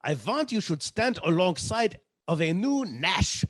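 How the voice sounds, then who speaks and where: A man plays a mocking, theatrical old musician in a vintage radio comedy. His lively, swooping delivery is flowery and full of digs, in a dry recording. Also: high voice, measured pace, no echo, exaggerated voice. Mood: sardonic, teasing. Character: sardonic, theatrical teasing old-world musician, the Professor. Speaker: amateur theatre performer. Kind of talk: 1940s radio sitcom acting.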